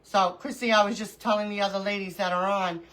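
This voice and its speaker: A middle-aged woman hosts a casual crafting livestream from home. Her voice is bright and clear, though stuffy from allergies, and she sniffles now and then. She chats easily and greets viewers one by one by name. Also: mid-range voice, steady tone, quick pace, poor audio. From New York.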